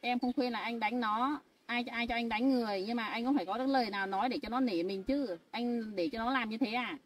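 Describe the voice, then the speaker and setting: A woman's voice: on the high side, fast, distant mic, close to an everyday voice, rough recording. A woman playing a jealous young wife who talks in an insistent, accusing, threatening way in an amateur family skit. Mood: complaining. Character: jealous young wife, sharp-tongued and stubborn.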